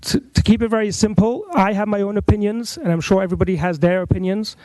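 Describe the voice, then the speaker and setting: A man with a high, mellow voice makes an earnest, measured appeal at a council meeting. He speaks as a rabbi into a podium microphone, and the sound is close and dry. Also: dry recording, poor audio. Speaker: rabbi, public commenter. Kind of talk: public comment at a council meeting. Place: council chamber.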